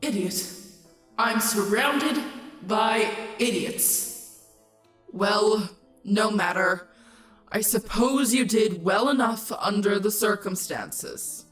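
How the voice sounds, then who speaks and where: A woman voicing an imperious, scornful changeling queen in a cartoon-style audio story, haughty and commanding in her drawn-out delivery. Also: mid-range voice, a bit bright, faint music behind. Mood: exasperated, scornful. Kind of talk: dramatic cartoon-style character acting.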